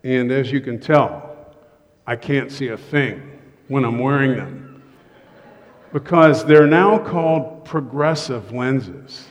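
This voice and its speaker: A man's voice: mid-range voice, slow. A middle-aged man preaching a humorous sermon to his congregation from a church stage, with joking, self-deprecating asides. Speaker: pastor. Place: church sanctuary. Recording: long reverb of a church hall.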